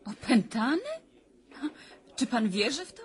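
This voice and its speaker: A woman's voice: on the high side, lively intonation, a little slow, breathy edge, exaggerated voice. An actress playing a troubled, intense young lady of good family in a radio drama, earnest and hesitant. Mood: startled, hesitant. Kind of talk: 1990s radio drama acting.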